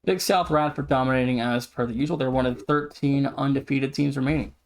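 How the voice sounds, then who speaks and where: A man's voice: mid-range voice, steady tone, fairly quick. A man co-hosting a sports podcast, casually running through standings and team updates. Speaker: podcast co-host.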